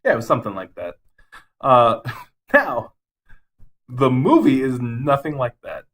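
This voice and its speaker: A man's voice: mid-range voice, wildly swooping pitch, a little slow, fairly bright tone. Enthusiastic, rambling man chatting casually. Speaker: podcast co-host. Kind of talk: casual chat, ranking favorite game movies.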